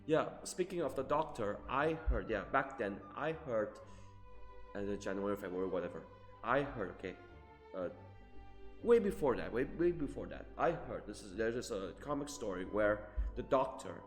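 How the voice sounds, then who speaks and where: A man's voice: lowish voice, lively intonation, normal speed. A man giving casual live game commentary as a streamer, rambling, repeating himself emphatically and swearing offhand. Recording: reverberant room, game music behind.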